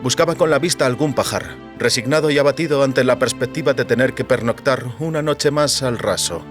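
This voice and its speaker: A man's voice: medium pitch, brisk. A man narrating a literary audiobook in a dramatic, scripted storytelling style. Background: music.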